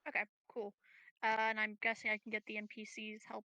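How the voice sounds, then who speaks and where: A woman's voice: medium pitch, monotone, fairly quick, pushed and bright, dry recording. A woman chatting casually as a player in a tabletop roleplaying game.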